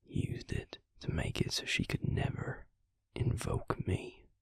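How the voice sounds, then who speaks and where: A man playing a brooding, protective vampire lover in an intimate audio roleplay, whispering slowly and haltingly with a breathy, gravelly voice. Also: no echo, lightly acted. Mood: bitter, confiding. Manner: slow, halting delivery with pauses.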